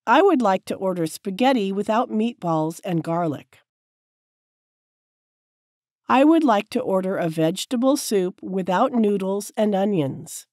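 A woman teacher reading short sentences slowly and clearly, each one said several times for learners to repeat. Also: mid-range voice, expressive, a little mellow, dry recording, studio quality. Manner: careful, clear enunciation. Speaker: English teacher. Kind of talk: slow repeated drill sentences.

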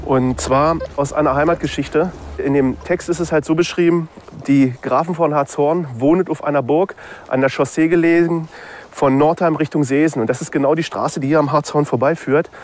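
An amateur male detectorist recounts things casually in an interview. His pitch is on the high side, and faint ambient noise sits behind him. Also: steady tone, normal speed.

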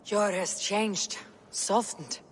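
An actress playing a blunt, resolute Viking warrior woman in a video game, speaking in measured phrases. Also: mid-range voice, steady tone, a little hiss or hum. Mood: calm, probing. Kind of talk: video game cutscene acting.

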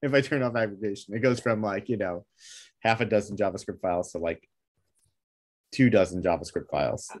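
Man, deep low voice, hesitant, lots of ums, video call from home. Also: a little fast, darkish tone. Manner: hesitant, many fillers, thinking aloud. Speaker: meetup attendee asking for help. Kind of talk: casual video call chat.

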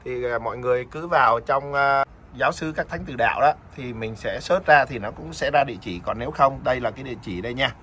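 A man's voice: medium pitch, fast, bright, forceful tone, a little hiss or hum. A man speaking informally and a little hesitantly, adding casual promotional comments from behind the camera. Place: outdoors at a building entrance.

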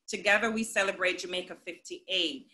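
A middle-aged woman answers interview questions over a video call, enthusiastic and promotional about her show. Her voice is bright and forceful. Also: on the low side, steady tone, normal speed. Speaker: show creator and executive producer.

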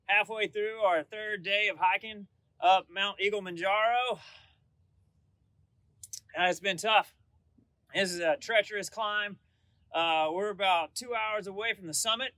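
A man's voice: high voice, bright, forceful tone, dry recording. A man in his forties hosting a children's devotional outdoors in a casual, playful mock-serious way, speaking to a camera a few metres away. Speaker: kids' devotional host, coach. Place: outdoors by a rocky bank.

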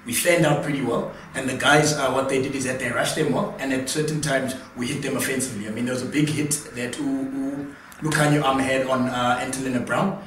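A man explains tactics in a measured, analytical way on a studio panel. His mid-range voice is heard with a roomy echo, picked up from a distance. A faint hiss sits underneath. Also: medium pitch, fairly even intonation, a bit bright. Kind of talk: casual studio sports analysis. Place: small studio room, indoors.

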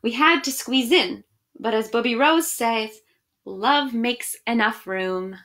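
A woman in her thirties with a slightly high voice speaks to children as in a storytime at home. She addresses her young listeners directly and reads with expression. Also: takes it slowly, fairly bright tone. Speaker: education director reading picture books online to children. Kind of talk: children's storybook read-aloud and friendly chat to viewers. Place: small room at home, indoors.

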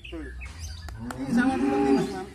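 A man's voice: very high-pitched, lively intonation, brisk, creaky, heavy background noise. A man chatting casually as a cattle trader. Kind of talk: casual chat. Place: outdoors in a village yard. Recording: busy outdoor yard chatter.

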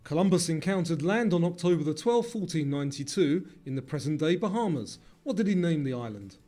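A man narrates a scripted quiz in a fairly high, very mellow voice. His delivery of questions and answers is measured, with faint music underneath. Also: expressive.

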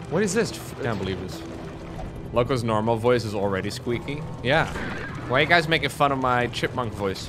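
Joking male streamer testing effects. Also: medium pitch. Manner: joking, bantering with chat, trying out voice effects. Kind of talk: casual stream chat. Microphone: desk mic through an audio interface.

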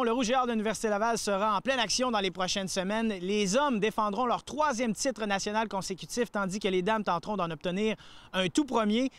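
Quebec male sports host; high pitch, polished anchor reads and interviews. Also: a bit bright. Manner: crisp, polished delivery.

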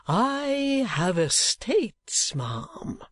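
A woman voicing an eccentric, courtly old gentleman in a storybook, with pompous, grandiose phrasing. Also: a little high, wildly swooping pitch, slightly rough, dry recording, pitched above the performer's own voice, exaggerated voice. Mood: boastful. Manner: florid, pompous, drawn-out phrasing.

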